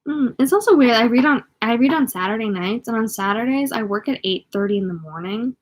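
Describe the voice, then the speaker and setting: A woman's voice: a little high, expressive, fairly quick. A woman reading a novel aloud as an amateur, sometimes tripping over words and going back over phrases. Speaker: amateur audiobook reader.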